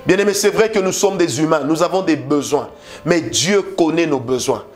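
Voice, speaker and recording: Male preacher, Congolese French accent, high voice, forceful sermon delivery, faint music underneath. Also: normal speed. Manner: emphatic preaching with repeated phrases and rhetorical questions.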